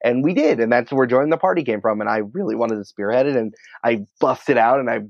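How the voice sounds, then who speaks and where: A man talks as an interview guest in an informal, emphatic style with firm opinions. His voice sits at a medium pitch. The recording is dry and a little dull, with the top end muffled. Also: a little fast, no room sound. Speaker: podcast producer and writer, interview guest. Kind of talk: casual interview conversation.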